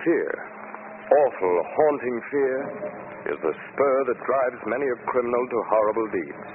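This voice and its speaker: An actor narrating a 1940s radio crime drama as a grave, ominous storyteller. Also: male voice, medium pitch, lively intonation, normal speed, close to an everyday voice. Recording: scratchy, tinny 1940s radio recording.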